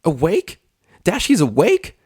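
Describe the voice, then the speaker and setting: A man voicing an excitable, squealing young female pony in an audiobook story, bubbly but close to tears. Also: sits high, slow pace, breathy edge, voice pushed up, heavily acted. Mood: excited, squealing.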